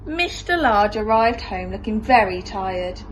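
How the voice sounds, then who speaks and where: A woman's voice: medium pitch, expressive, measured pace, a little hiss or hum, rough recording. A woman reading a children's storybook expressively, in the style of a primary school teacher.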